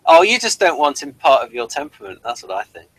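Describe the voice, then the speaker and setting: Bright-voiced man hosting a casual chat. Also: a little high, very animated, fast, rough recording. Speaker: podcast host. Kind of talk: casual discussion of TV and personality types.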